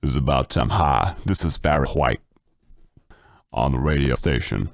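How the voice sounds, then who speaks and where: A man putting on a smooth, slow, deep-voiced late-night radio host for a joke on a livestream. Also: dry recording, studio quality. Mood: sultry. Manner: slow, low, seductive delivery. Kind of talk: joking put-on voice for a livestream. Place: home at night.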